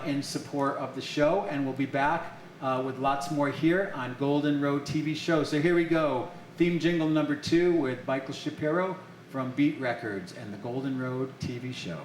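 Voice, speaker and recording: A man hosting a live studio talk show, talking haltingly with many fillers and restarts. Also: on the high side, a little hiss or hum. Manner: halting, full of fillers and repeated starts. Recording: echoey studio.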